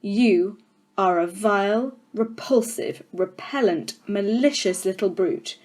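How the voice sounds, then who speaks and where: A woman's voice: mid-range voice, slow pace, darkish tone. Woman acting a domineering, child-hating headmistress. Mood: furious. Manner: domineering, contemptuous, emphatic. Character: tyrannical, child-hating, menacing adult headmistress.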